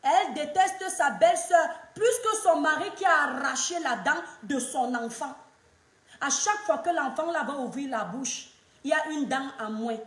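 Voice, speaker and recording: A woman's voice: high voice, moderate pace, echoey room. A woman, giving opinionated online commentary in an emphatic, lecturing way that repeats points for weight. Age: 30s. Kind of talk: opinionated social media commentary.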